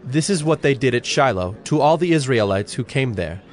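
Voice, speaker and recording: A man's voice: medium pitch, animated, moderate pace. A man narrating scripture from a script in a solemn style.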